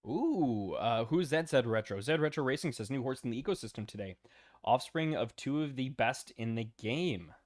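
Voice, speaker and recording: A man's voice: mid-range voice, lively intonation, moderate pace. A man hosting a casual livestream, tired and losing his voice, talking loosely with many fillers and greeting viewers by name. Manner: loose and rambling, many ums and uhs, greets chat by name. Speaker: livestream host.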